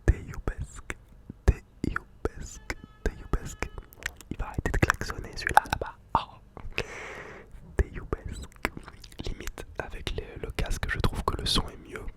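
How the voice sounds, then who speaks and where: A man whispering softly and close for ASMR, slowly repeating foreign words with casual slang. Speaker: ASMR creator.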